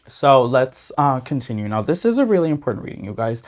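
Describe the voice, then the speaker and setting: A man with a slightly hoarse voice hosting a tarot reading channel, talking casually and earnestly to his viewers. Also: mid-range voice, very animated, moderate pace, a little mellow. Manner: earnest, often adds "okay?" and "you guys". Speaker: tarot reader and channel host. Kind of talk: casual talk to camera.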